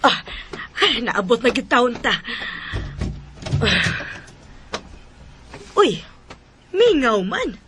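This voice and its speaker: A woman's voice: fairly high pitch, big pitch swings, drawn-out words, pauses often, noisy background, full character voice. A woman with a Cebuano accent acting a devoted, melodramatic wife and mother in a Bisaya radio drama. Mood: brisk. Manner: emotional, melodramatic. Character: devoted wife and mother, explosive when betrayed.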